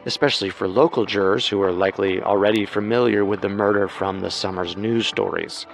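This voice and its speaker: A man co-hosts a true-crime show, reading scripted narration. His voice sits somewhat low. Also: steady tone, a little fast, gravelly, soft background music. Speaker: podcast co-host and narrator.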